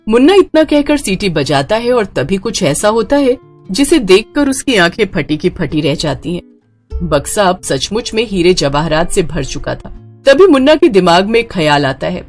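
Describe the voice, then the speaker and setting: Woman, story narrator, lively intonation, slightly low pitch, scripted dramatic delivery, faint music behind. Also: normal speed.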